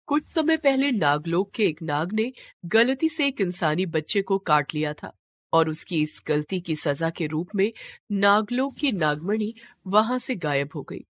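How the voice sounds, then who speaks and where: A woman's voice: fairly low pitch, expressive, measured pace, no echo. A woman narrating a scripted mythological tale in a dramatic storytelling style.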